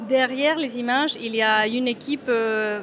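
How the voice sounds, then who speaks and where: A hesitant woman from Mexico with a somewhat high voice answers an interviewer's questions about her work. She restarts her sentences often and fills pauses with "uh" as she searches for words. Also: a bit bright. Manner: hesitant, many fillers and restarts. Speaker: photographer. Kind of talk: interview at an exhibition opening.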